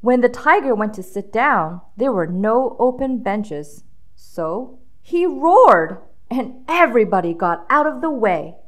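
Animated, high-pitched woman reading a storybook. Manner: dramatic emphasis, drawn-out key words. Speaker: children's storybook reader. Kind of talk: expressive read-aloud storytelling with questions to young listeners.

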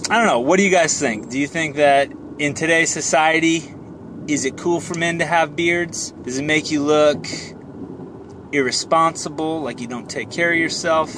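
A man's voice: on the high side, normal speed. A man in his thirties rambling casually to camera while driving, with a joking, self-deprecating manner. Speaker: vlogger. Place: inside a moving car. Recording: car cabin with road and fan noise. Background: car and air noise.

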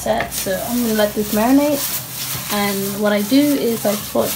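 A woman's voice: medium pitch, normal speed, noisy background. Hesitant woman narrating her cooking. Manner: hesitant, lots of ums and restarts. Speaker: cooking vlogger. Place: home kitchen, indoors.

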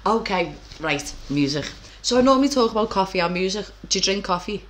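A woman's voice: medium pitch, lively intonation, brisk, a little hiss or hum. A woman, hosting a casual video-call chat from home on a headset, chatty and swearing freely. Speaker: podcast host. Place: small room at home, indoors. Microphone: over-ear headset on a video call.

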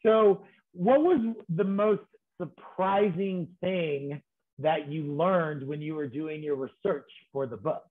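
A man with a fairly high voice hosts a conversational panel. He speaks expressively, and the sound is thin and narrow like a phone line on a video call. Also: slow. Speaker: panel host. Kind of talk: conversational event moderating. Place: video call from a room at home.

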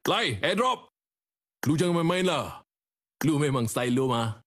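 An actor with a Malaysian accent playing a cocky, swaggering comic street gangster in game voice clips. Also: male voice, a little high, sing-song, measured pace, a bit bright, heavily acted. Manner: swaggering, exclamatory. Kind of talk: game voice pack catchphrases.

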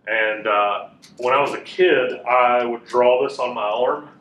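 A man in his thirties teaching a class in a casual, chatty way, with a loud voice that carries and many fillers. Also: medium pitch, measured pace, a little hiss or hum. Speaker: Bible teacher. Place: classroom with a whiteboard, indoors. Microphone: room mic from a fixed camera. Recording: echoey room, voice a bit far off.

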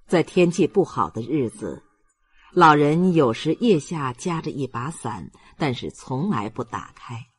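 A man reading a novel aloud as an audiobook narrator, in an expressive literary storytelling style. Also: on the high side, measured pace, breathy edge.

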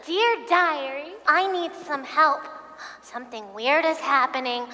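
A woman's voice: high voice, unhurried, sharp tone. An actress playing an anxious, eager young schoolgirl in a comedic staged reading. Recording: echoey hall.

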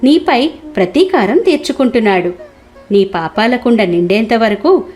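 A woman's voice: a little high, lively intonation, moderate pace, a little mellow, soft background music, close to an everyday voice. A woman voicing a compassionate, all-knowing holy man who solemnly explains things in a cartoon moral story. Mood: solemn, explaining.

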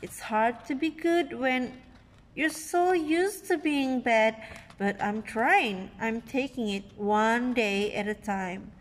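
A woman voicing a moody, self-confessed bad sunflower seed from a storybook, with dramatic, drawn-out emphasis. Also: fairly high pitch. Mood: resigned, hopeful. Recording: echoey room, handheld phone recording.

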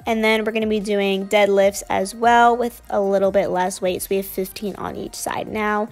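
A woman's voice: mid-range voice, flat delivery, moderate pace, soft background music. A young woman narrating her gym workout in a casual, upbeat voice-over. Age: young adult. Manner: casual, chatty, upbeat explaining. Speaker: fitness vlogger.

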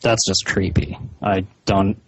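Low, husky man on the phone. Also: flat delivery, dry recording. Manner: measured, hesitant, insistent. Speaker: caller. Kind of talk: slow, halting phone conversation. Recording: slightly muffled phone call. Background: phone call recording.

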